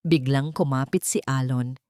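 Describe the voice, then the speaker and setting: A woman's voice: very deep voice, steady tone, moderate pace, warm tone, no echo, studio quality. A woman narrating a dramatic romance audiobook in a scripted storytelling style.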